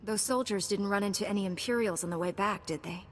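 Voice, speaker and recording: Casting: actress as self-assured warrior knight for a sci-fi game, calm, blunt, mid-pitched, ringing hall acoustic. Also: female voice, fast, lightly acted. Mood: composed, blunt. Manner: calm, matter-of-fact, assured. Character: composed, blunt female Jedi knight. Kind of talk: scripted video game cutscene acting. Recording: long reverberant hall, faint background rumble.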